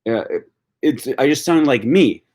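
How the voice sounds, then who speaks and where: A man's voice: medium pitch, expressive, unhurried, a little mellow. A man from Wisconsin with a Wisconsin accent, chatting casually on a livestream and answering viewer comments, thinking aloud with frequent fillers and restarts. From Wisconsin, USA. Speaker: language-learning YouTuber answering live chat.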